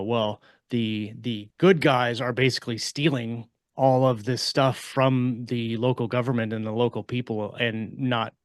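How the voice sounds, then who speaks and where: A man's voice: medium pitch, no echo, studio quality. A man co-hosting a casual podcast discussion, explaining things in a rambling way and often rephrasing as he goes. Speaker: podcast co-host and fiction author.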